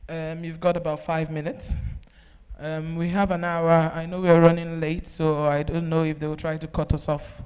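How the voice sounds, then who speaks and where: A man moderating a book festival panel, brisk and organizing as he introduces the authors. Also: a little high, monotone, a little mellow, smooth. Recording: reverberant festival hall.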